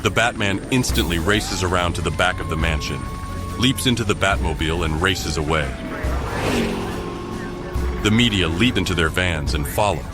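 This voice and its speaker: Male narrator, deep register, scripted dramatic storytelling over prominent score. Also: normal speed, pauses often, over loud music.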